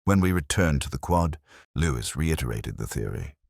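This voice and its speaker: A man reading scripted first-person detective fiction in a measured, brooding, literary manner. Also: rumbling low, animated, creaky, darkish tone, no room sound, clean studio sound. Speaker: narrator.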